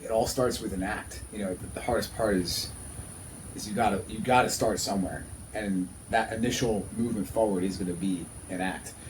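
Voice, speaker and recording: A man in his thirties with a fairly low voice chats as a podcast host. He is earnest and emphatic, circling back over his phrases. There is faint background noise in the recording. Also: fairly quick, low-quality recording. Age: 30s. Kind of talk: casual conversational podcast talk.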